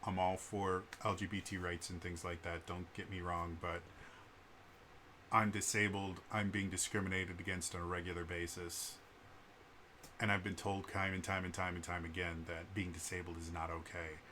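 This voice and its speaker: A man with a stutter talks straight to the camera in an unscripted vlog, earnest and full of restarts. His voice sits fairly low and carries a bright, forceful edge. Faint background noise hangs behind him. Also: flat delivery, normal speed. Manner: earnest, frequent fillers and restarts. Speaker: vlogger.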